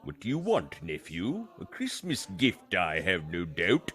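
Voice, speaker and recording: A low, forceful old miser played by a man from a radio play. He is grumpy and scornful, barking curtly, and his pitch swings theatrically from growled dismissals to sharp jabs. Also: huge pitch swings, unhurried, breathy edge, exaggerated voice. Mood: bitter, mocking.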